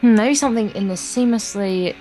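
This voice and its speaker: A woman's voice: mid-range voice, fairly quick, dark tone, soft background music, rough recording. A woman hosting a Let's Play, reading visual novel text aloud with informal, jokey asides and the odd stumble. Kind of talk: reading game text aloud with casual banter.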